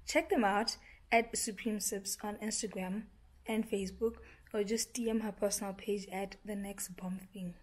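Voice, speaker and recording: A young woman from Botswana with a Botswana English accent, chatting excitedly to her camera in a casual vlog, often dropping to a half-whisper. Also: mid-range voice, husky. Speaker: vlogger. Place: small room indoors, later a parked car. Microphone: handheld camera at arm's length.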